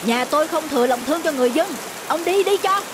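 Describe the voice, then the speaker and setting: A woman's voice: high-pitched, lively intonation, fast, noisy background. Woman voicing a greedy stingy older brother. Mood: curt greedy. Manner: curt and dismissive. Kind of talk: cartoon voice acting. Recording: clear background music.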